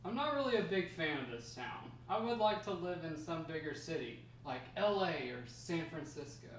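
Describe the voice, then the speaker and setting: A man playing a curious, self-doubting high-school grandson in a table read, with a casual, plain way of asking. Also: expressive, normal speed, fairly bright tone. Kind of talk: amateur table read of a script. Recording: echoey room, distant mic, faint noise.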